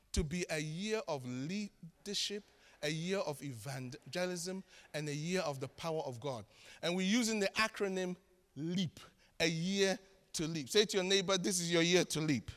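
A Ghanaian man preaching in a large church, his voice high and bright with a forceful edge. He tells stories with self-mocking humor and swings between shouted lines and quiet asides. Long reverb from the hall trails after his words. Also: moderate pace. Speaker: pastor. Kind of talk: sermon.

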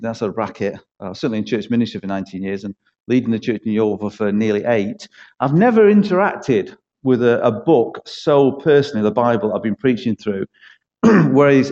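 A middle-aged man with a Manchester accent preaches a sermon, chatty and self-deprecating, tossing in jokes and rhetorical questions. His voice is dark and mellow. The recording is dull and lo-fi. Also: medium pitch. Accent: Mancunian. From East Manchester, England. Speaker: church leader preaching as a guest.

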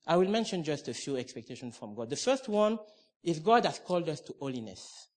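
A man's voice: on the high side, very animated, fairly quick, darkish tone. A middle-aged man from Cameroon preaching a sermon, emphatic and conversational, with restarts and asides.

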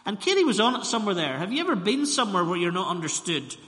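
Ballymena-accented preacher in a reverberant church. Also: male voice, sits high, sing-song, sharp tone. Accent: Northern Irish, Ballymena. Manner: storytelling, slows for emphasis, repeats phrases. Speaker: minister. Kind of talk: sermon.

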